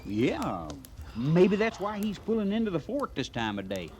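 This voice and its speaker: An actor playing an easygoing, dreamy cavalry trooper with a rural western American drawl, in old-time radio western style. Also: male voice, high-pitched, huge pitch swings, measured pace, a little mellow, full character voice. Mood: flustered. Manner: drawling, folksy, hesitant under pressure. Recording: noisy old radio drama recording.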